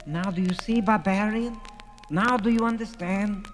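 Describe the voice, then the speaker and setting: An actor playing a small, frail, twisted villager, urgent and pleading, in a 1970s audio drama. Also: male voice, high-pitched, measured pace, faint music behind. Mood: reproachful.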